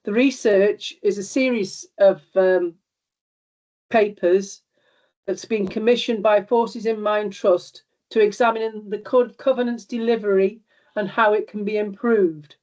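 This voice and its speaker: A woman with a cold and a slightly squeaky voice giving a steady spoken report to a meeting over a remote call. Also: unhurried. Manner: reports steadily, with some ums and restarts. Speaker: regional covenant liaison officer. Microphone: remote video call. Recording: dull remote call audio.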